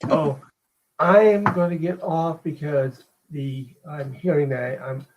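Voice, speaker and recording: A man joining a livestream call, chatting casually in quick bursts. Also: a little high, fairly even intonation, slight background noise, low-quality recording. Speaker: guest on a call. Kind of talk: casual chat.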